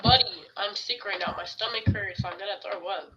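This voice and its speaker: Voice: medium pitch, a little fast, vocal fry, muffled recording, a crowd in the background. A person giving casual, off-the-cuff reaction commentary as host of a video.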